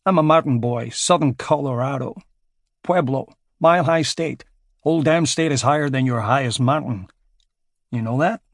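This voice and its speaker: A man's voice: mid-range voice, normal speed, no room sound, studio quality, close to an everyday voice. A man voicing a burly, crude American ex-marine security contractor with an American accent. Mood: brash. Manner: crude, swaggering, profane.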